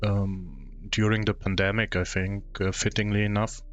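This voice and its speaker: A man's voice: fairly low pitch, level tone, normal speed, dull audio, soft background music. A man with a German accent streaming a game, casually commenting and thinking aloud with hums.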